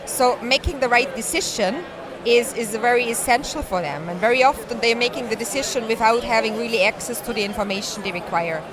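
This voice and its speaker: Austrian-accented woman over clear crowd chatter. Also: fairly high pitch, brisk. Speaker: social startup representative being interviewed. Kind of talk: explanatory interview answers. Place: busy tech conference venue.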